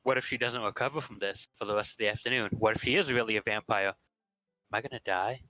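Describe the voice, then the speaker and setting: A man's voice: fairly low pitch, fast talker, pushed and bright, no room sound, faint music behind, low-quality recording. A man narrating game text aloud in an impromptu reading, playful with asides.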